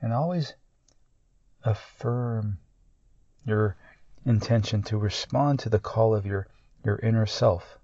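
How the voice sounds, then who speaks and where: Slow, low-voiced man guiding meditation. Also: no room sound, lo-fi sound. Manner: calm, measured, long pauses between short phrases. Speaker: meditation guide. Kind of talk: guided meditation, slow and soothing.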